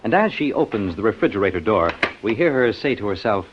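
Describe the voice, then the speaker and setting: A man's voice: fairly low pitch, very animated, a little fast, lo-fi sound. A man narrating a 1950s radio detective drama as its dramatic announcer. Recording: old band-limited radio recording, music behind.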